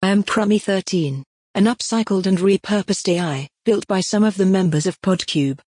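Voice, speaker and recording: A woman's voice: medium pitch, normal speed, a little mellow, close to an everyday voice. A woman playing a self-promoting, cheerfully smug AI who presents her own podcast in a scripted comedic monologue. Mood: proud, excited. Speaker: podcast creator and host.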